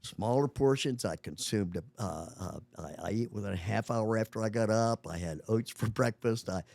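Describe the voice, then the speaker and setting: A man's voice: lowish voice, moderate pace, vocal fry, darkish tone, no echo. A man in his eighties, a guest in a casual interview, talking with many restarts and chuckling often. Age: 80s.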